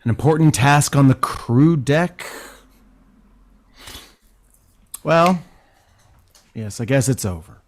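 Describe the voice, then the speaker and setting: A man playing a seductive, wounded consort of a starship captain in an audio drama, with a halting, sultry, theatrical delivery. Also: on the low side, a little mellow. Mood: wounded, proud. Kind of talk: gender-swapped science fiction audio drama acting.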